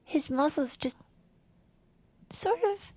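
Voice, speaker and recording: An actress playing a sentimental, gushing true crime podcast co-host, earnest and effusive, in scripted audio fiction styled as chat. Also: female voice, high voice, animated, slow, dark tone, no room sound. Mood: wistful.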